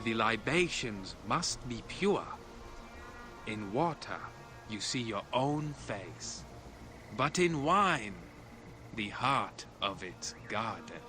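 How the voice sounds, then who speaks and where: An actor playing a stern, cryptic temple guardian vision in a video game, speaking solemnly. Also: male voice, fairly low pitch, big pitch swings, slow, over music. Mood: solemn warning.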